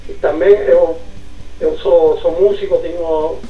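A man born in Brazil and raised in Argentina, a musician guest speaking haltingly with many repeated words in a casual chat over an internet call. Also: fairly high pitch, fairly even intonation, roomy sound, dull audio, music in the background. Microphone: computer mic over internet call.

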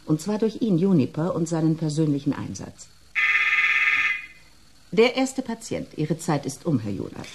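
A woman playing a reserved, precise psychotherapist in a radio drama, speaking in a clipped, formal, measured way. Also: sits low, big pitch swings, very noisy. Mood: reporting, precise. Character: reserved, precise female psychotherapist with two doctorates.

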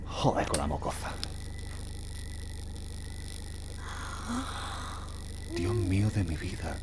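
A man's voice: brisk, natural voice. A man acting in an audio drama as a weary, impatient driver, with a colloquial, grumbling delivery. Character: weary, impatient male driver searching refugee-packed road with a child. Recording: noisy, with vehicle rumble behind.

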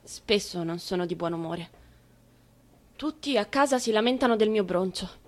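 An actress playing a moody, dreamy young German woman in wartime, in an introspective theatrical monologue of measured short phrases. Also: mid-range voice.

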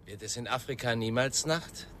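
A man's voice: mid-range voice, moderate pace, fairly bright tone, a little hiss or hum, lightly acted. An actor playing a menacing, taunting male thug who makes threats in a radio crime drama. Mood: mocking menace.

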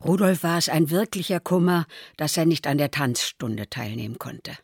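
An older woman playing an aging mother who soberly recalls her troubled son in a radio play, her voice low, husky and creaky. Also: animated, moderate pace, a little mellow, no room sound, studio quality, exaggerated voice. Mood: sober recollection.

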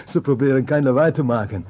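A man's voice: medium pitch, mellow, breathy edge, lightly acted. An actor playing an eager, deferential henchman reporting to his boss in a radio drama. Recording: cavernous long reverb.

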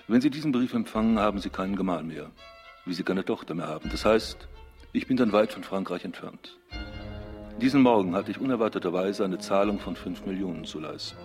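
An actor in a German radio drama playing a pompous, self-pitying banker baron, formal and theatrical. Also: male voice, low voice, darkish tone, lightly acted.

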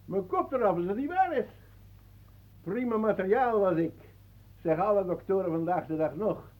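An actor playing a boastful old former boxer in a Dutch radio play, chatty and clipped. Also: male voice, high-pitched, expressive, normal speed, narrow, tinny audio. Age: older. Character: boastful old former boxer, proud of his big bones.

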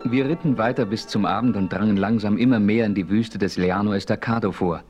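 An actor playing a solemn frontier hero who narrates his adventure in a measured, formal audio drama style. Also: lowish voice, a little mellow, soft background music, natural voice. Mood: solemn narration.

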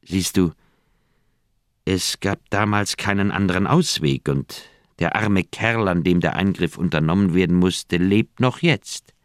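An actor playing an older, thoughtful doctor, recounting memories in a measured way in a radio play. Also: male voice, deep voice, dry recording, studio quality. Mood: troubled, candid. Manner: measured, deliberate storytelling. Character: older male Swiss doctor, thoughtful, candid old friend. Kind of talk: radio play dialogue, reminiscing narration.

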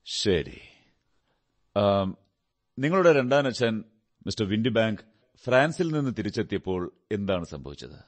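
A man acting in a radio drama as a shrewd, methodical detective who asks questions in a measured, deliberate way. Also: on the low side, sing-song. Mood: probing, composed. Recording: radio drama with background music. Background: music.

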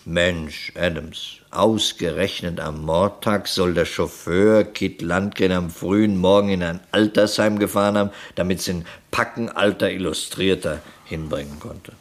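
Low-voiced, dryly sceptical police detective. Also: male voice, steady tone, measured pace, few pauses. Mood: wry, puzzled. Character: male police detective, matter-of-fact, persistent, dryly sceptical. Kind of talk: German crime radio play acting.

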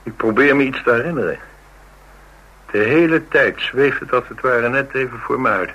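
A man's voice: mid-range voice, normal speed, sharp tone, husky, lo-fi sound. An actor playing a brooding, philosophical man in a radio drama, musing and dryly understated. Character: brooding, philosophical man named Paul, haunted by dreams. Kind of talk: Dutch radio drama acting, naturalistic dialogue.

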